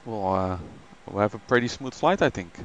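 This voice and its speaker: A man's voice: on the low side, lively intonation, moderate pace, highs cut off, slight background noise. A man hosting a flight simulator live stream, chatting casually with many ums and greeting viewers by name.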